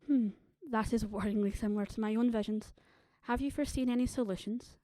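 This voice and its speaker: A woman's voice: on the high side, steady tone, brisk, mellow, natural voice. A woman playing a stern, haughty vampire leader in an audio drama, formal, guarded and imperious in her speech. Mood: grave, measured.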